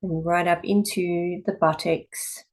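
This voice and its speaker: Female yoga instructor, slightly low pitch, calm and measured guided-meditation delivery with pauses. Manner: calm, measured, with pauses between short phrases. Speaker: yoga teacher.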